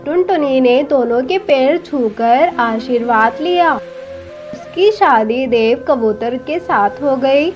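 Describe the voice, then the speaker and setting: A woman's voice: high-pitched, animated, takes it slowly, a little mellow. A woman narrating a scripted cartoon story for children with emotive, melodramatic delivery. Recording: dull lo-fi audio with background music.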